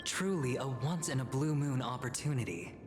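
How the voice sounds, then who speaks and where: A sly young corporate schemer from a video game , played by a male actor, talks in a smooth, coaxing way at a medium pitch. He sells every line with false friendliness, and loud music runs behind him. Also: lively intonation, moderate pace, natural voice. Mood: persuasive.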